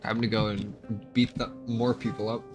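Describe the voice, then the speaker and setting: A man's voice: lowish voice, little pitch movement, moderate pace, over music. A man giving casual, wry and joking commentary as he plays a video game. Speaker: gaming content creator.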